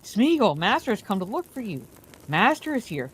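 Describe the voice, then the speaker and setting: A woman voicing a gentle but urgent young male hobbit, pleading and reassuring. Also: medium pitch, big pitch swings, moderate pace, breathy edge, voice pushed up. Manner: pleading, soft then urgent.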